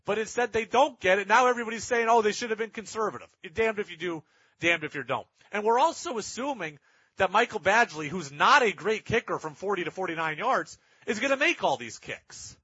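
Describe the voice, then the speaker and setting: A man's voice: high-pitched, expressive, a little fast, bright, forceful tone, breathy edge, no echo, dull audio. A man hosting a sports talk show, giving opinionated commentary in an emphatic way, repeating his points to drive them home.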